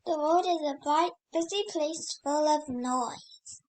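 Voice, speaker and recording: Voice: sits high, slow, darkish tone, a little hiss or hum. A child hosting a read-aloud, reading a picture book and breaking off to exclaim over the pictures with wonder.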